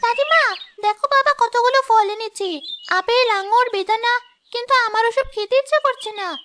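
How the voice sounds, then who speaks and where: A woman's voice: very high-pitched, normal speed, bright, forceful tone, slight background noise. A woman voicing a young boy from a rich family in a cartoon who asks earnest questions.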